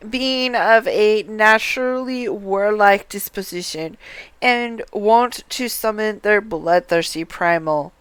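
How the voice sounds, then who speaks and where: A woman reads video game dialogue for a livestream. Her voice is exceptionally clear and mid-pitched, with a slow, deliberate delivery. The sound is dry. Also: fairly bright tone, dry recording. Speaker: game streamer.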